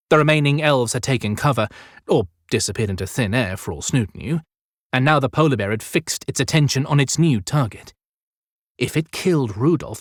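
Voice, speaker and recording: A male audiobook narrator with a mid-pitched voice, recorded dry and close. He reads scripted fiction dramatically, leaning on key words to build suspense. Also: dry recording.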